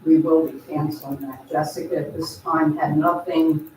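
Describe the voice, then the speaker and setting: A council member giving measured, formal remarks at a borough council meeting. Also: mid-range voice, level tone, a little hiss or hum. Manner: measured, deliberate, announcing decisions. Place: echoey council chamber. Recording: dull, echoey hall from far off.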